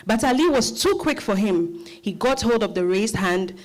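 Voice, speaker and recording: A woman's voice: medium pitch, expressive, normal speed, a little mellow, low-quality recording. A woman narrating a scripted dramatized reading as storyteller.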